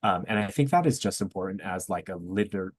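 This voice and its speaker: A man's voice: low-pitched, animated, fairly quick, slightly rough, dry recording, clean studio sound. A young man in a casual discussion on a student podcast, talking in a hesitant, thoughtful, informal way.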